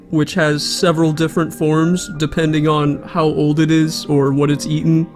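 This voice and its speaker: A man's voice: on the high side, darkish tone. A young man giving a casual, matter-of-fact explainer commentary in an informal way. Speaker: video creator narrating commentary. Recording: voice over video game background music. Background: video game music.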